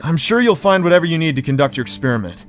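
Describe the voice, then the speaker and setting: Man voicing young pony prince, lightish high voice, lively, informal, earnest, music behind. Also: racing. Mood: earnest, agreeable. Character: friendly, modest young stallion prince, former guard. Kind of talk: fan audio drama acting.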